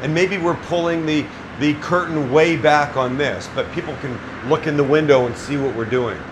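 A man's voice: on the high side, normal speed, noisy background. A middle-aged man hosting a casual cooking demonstration in a small restaurant kitchen, joking bluntly and swearing freely. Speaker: chef and cooking show host.

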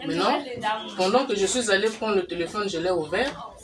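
A woman's voice: medium pitch, a little fast. Congolese woman telling her church testimony, recorded from a bit away, chatter behind her. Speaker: church member giving testimony. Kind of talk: spontaneous religious testimony, storytelling. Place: small living room indoors. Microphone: camera microphone from a short distance. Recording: distant mic, other voices behind.

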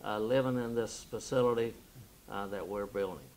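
A man in his sixties, a missionary, giving a halting project update full of "uh" pauses. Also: lowish voice, darkish tone, slight background noise, low-quality recording. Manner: halting, many "uh" fillers. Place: studio set with wooden wall backdrop.

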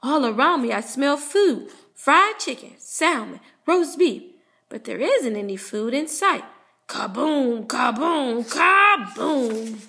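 Lady doing a kids storybook read aloud, really slow, super up and down voice, playful. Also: sits high. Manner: playful, animated, with drawn-out sound words. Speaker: teacher reading a children's book aloud. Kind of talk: expressive storybook read-aloud as a puppy narrator.

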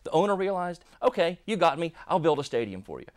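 A man in his thirties, an economist, explaining in an interview in a quick, emphatic way. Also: on the high side, very animated. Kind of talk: interview answers. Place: indoor studio set.

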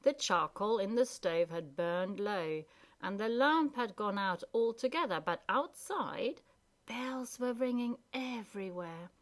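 A woman storyteller reading a storybook aloud in an expressive narrating style. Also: mid-range voice, a little slow.

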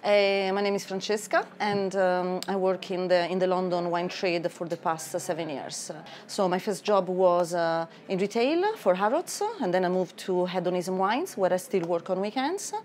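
A woman's voice: lowish voice, normal speed, a little mellow. A woman in her thirties with an Italian accent, a wine merchant describing a wine carefully with frequent hesitations in a wine cellar. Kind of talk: tasting discussion.

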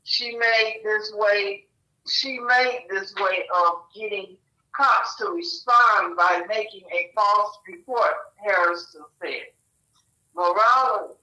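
A woman co-host reading a news report aloud, halting and stumbling over names, on a muffled line. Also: mid-range voice, sharp tone.

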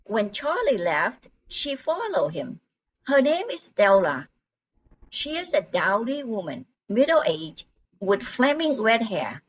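An older woman playing an unhurried barmaid in an amateur radio drama. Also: fairly high pitch, sing-song, breathy edge, heavily acted. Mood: matter-of-fact. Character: Nadine, unhurried dive bar barmaid. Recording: muffled, noisy room recording.

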